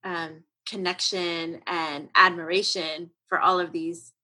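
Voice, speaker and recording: Bright-voiced woman interviewer. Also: fairly low pitch, little pitch movement, slow pace, low-quality recording. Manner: engaged, thoughtful, summarizing. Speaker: podcast host and interviewer. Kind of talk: conversational interview.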